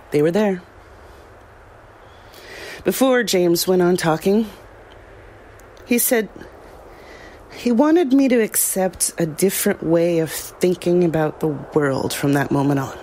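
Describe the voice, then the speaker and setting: A woman's voice: lowish voice, lively intonation, unhurried, pauses often, a little mellow, a little hiss or hum. An actress playing a troubled woman recounting a frightening ordeal in an audio drama, reflective and hesitant. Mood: subdued, haunted.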